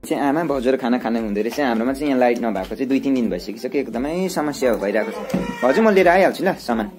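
A man's voice: mid-range voice, expressive, quick pace, darkish tone. A young man walks through his village home with a phone camera, narrating casually and calling out to family members along the way. Faint background music sits under his voice. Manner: chatty, mixes asides to family with narration to camera. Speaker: village vlogger. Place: rural hillside house and village, indoors and out.

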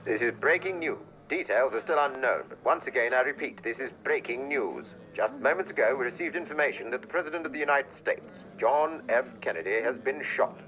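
A grave, formal newsman of the 1960s voiced by a male actor reads a breaking bulletin. His phrases are clipped and emphatic, at an ordinary male pitch. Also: animated, a little fast, hardly any pauses, sharp tone, poor audio. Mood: grave, urgent. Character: urgent 1960s news announcer.

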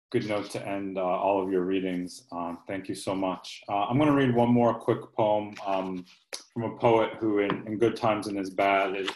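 A man's voice: on the low side, a little mellow. A man in his forties hosting an online poetry reading from his home, speaking on a video call. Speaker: poet and series host. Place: home kitchen, indoors. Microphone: laptop at arm's length, video call. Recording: roomy, echoey video call sound.

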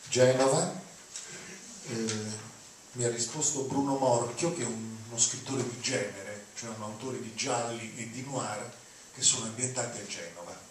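An older man speaks in a mid-pitched voice, heard from a distance in a very echoey hall with faint noise. He introduces readings informally, as a lecturer would. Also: moderate pace. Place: auditorium, seated on stage.

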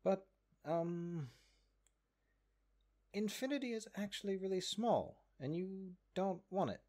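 A man's voice: high voice, lively intonation, takes it slowly, darkish tone. A man voicing a haughty, exasperated magic book in a comedic audio roleplay, condescending and sarcastic. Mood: scheming, coaxing. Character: exasperated, haughty talking cursed grimoire, a sentient magic book.

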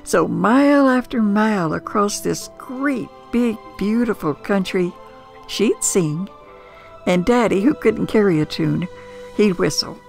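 A woman's voice: medium pitch, lively intonation, gravelly, darkish tone, faint music behind. An older woman with an American accent telling nostalgic memories in a reflective, conversational way. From United States. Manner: reflective, conversational, unhurried with pauses. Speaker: storyteller. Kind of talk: nostalgic first-person storytelling.

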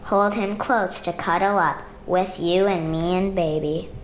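A woman reading a children's picture book aloud in measured, rhythmic rhyme. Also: fairly low pitch, steady tone, a little hiss or hum, poor audio. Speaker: storybook reader.

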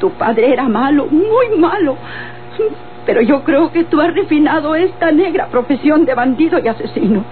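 A woman's voice: high-pitched, expressive, moderate pace, a little hiss or hum. An actress playing a stern, sorrowful old grandmother with a Mexican accent in an old radio drama. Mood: reproachful. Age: older. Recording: tinny old radio recording, music behind.

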